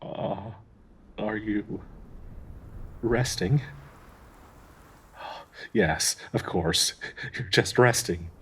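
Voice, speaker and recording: A man playing a gentle, devoted dryad tree spirit in an intimate audio roleplay, anxiously hopeful, halting and stammering tenderly with nervous soft laughs in a breathy voice. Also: on the low side, full character voice. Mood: uneasy, soft.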